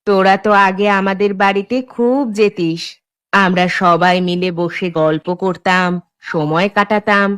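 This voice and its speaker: A woman's voice: medium pitch, fairly even intonation, unhurried, a bit bright, clear, resonant tone, lightly acted. A woman voicing a downcast, lonely mistress of a village household in a cartoon. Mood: downcast, wistful. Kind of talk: Bangla cartoon voice acting.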